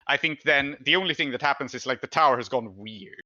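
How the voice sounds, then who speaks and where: Brief: male game master, conversational and halting, very bright forceful tone, close dry but slightly dull lo-fi recording. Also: mid-range voice, no echo. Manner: halting, conversational, thinking aloud. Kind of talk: improvised tabletop game narration.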